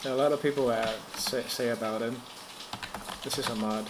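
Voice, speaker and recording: A man from Puerto Rico with a Puerto Rican Spanish accent, a native Spanish speaker, hosting a live stream and chatting haltingly while he works, repeating words and chanting to himself. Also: mid-range voice, fairly even intonation. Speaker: livestream host. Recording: noticeable background noise.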